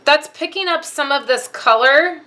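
A woman's voice: sits high, moderate pace, pushed and bright. A woman giving a casual step-by-step card-making tutorial, chatting through each step as she works at her craft desk.

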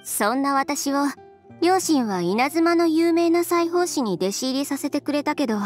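A woman's voice: high voice, expressive, normal speed. A woman playing a self-assured, independent young female designer in a Japanese game dub, speaking in a cool, matter-of-fact, casual way. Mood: reflective. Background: faint background music.

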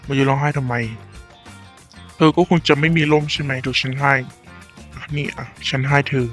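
A man's voice: mid-range voice, flat delivery, normal speed, fairly bright tone, close to an everyday voice. A man voicing a kind young man who helps a woman caught in the rain in a life-sim game. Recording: faint music behind.

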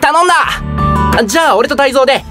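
An actor playing a blunt, competitive teenage schoolboy in an anime-style voice drama, with casual boyish speech. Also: male voice, very high-pitched, animated, brisk, music in the background. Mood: cocky, excited. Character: brash, competitive teenage schoolboy, sometimes sullen.

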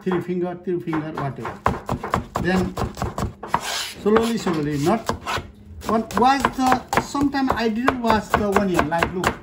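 A middle-aged male chef talks in halting, broken English, a few words at a time as he works. He is recorded on a phone in a busy restaurant kitchen. Loud chopping and clatter sit right over his voice. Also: high voice, expressive, very noisy. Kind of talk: casual explanation while demonstrating. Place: commercial restaurant kitchen, indoors.

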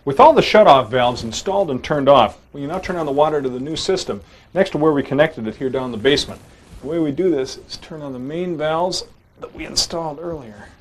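A man hosting a home improvement show, giving plain, steady step-by-step instructions as he demonstrates the work. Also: on the high side, fairly quick.

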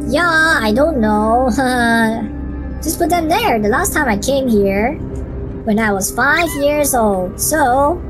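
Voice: medium pitch, lively intonation, low-quality recording. An actor voicing a boastful, easily flustered boy in an amateur game roleplay, with hesitant ums. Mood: casual, unsure. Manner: hesitant, drawn-out ums and uhs. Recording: background music under voice.

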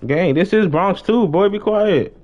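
A man's voice: high-pitched, unhurried. A young American man chatting casually and slangily on a gaming livestream, often muttering to himself. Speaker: live streamer. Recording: dull lo-fi streaming mic.

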